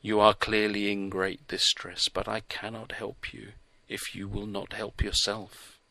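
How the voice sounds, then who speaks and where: A man's voice: on the low side, little pitch movement, measured pace, vocal fry, sharp tone, no room sound, exaggerated voice. A man reading the part of a blunt, insistent male hostel worker who presses with clipped questions. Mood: firm.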